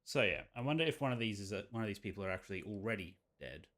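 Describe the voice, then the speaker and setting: A man's voice: on the low side, animated, very fast, fairly bright tone. A man casually commentating on a game as he plays, thinking aloud and reading on-screen text. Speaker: game streamer. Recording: game music behind the voice.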